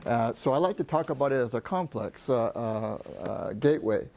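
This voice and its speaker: Soft-spoken man giving a presentation. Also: mid-range voice, animated, creaky. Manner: halting, many restarts and fillers. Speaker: port trade representative, presenter. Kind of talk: workshop presentation.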